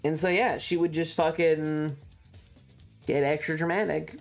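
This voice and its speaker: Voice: mid-range voice, fairly even intonation, unhurried. Casual streamer storyteller, mid-range voice, rambling and sweary, emphatic repeats, music behind.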